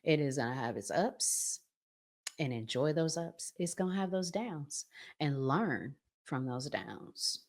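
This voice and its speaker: A woman's voice: low voice, expressive, slow pace, darkish tone. A middle-aged woman giving conversational relationship advice as a vlog host, emphatic, repeating phrases and asking rhetorical questions.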